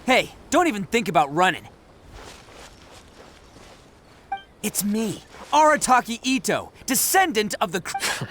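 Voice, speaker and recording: A man's voice: high voice, big pitch swings, normal speed, slight background noise. A man voicing a brash, cocky young oni gang leader in a video game, with slangy, boastful swagger. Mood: cocky, fired up. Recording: loud game music behind the voice.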